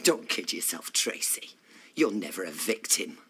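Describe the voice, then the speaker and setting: A distraught Northern English mother in a television soap. She is a middle-aged woman with a bright, tense voice and slow, weighty delivery. She sounds guilty and defends herself with anguished emphasis. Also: very deep voice, very animated, breathy edge, heavily acted. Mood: angry, accusing. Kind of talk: naturalistic television soap drama acting.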